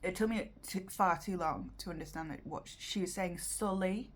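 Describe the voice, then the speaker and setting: Rambling British woman reacting casually. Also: fairly low pitch. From Britain. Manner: rambling, halting. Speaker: reaction host.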